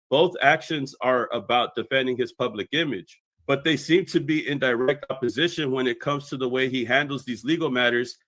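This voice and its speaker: A man's voice: medium pitch, bright, forceful tone, no room sound. A man delivering scripted explanatory commentary in a methodical, expository way as a video commentator.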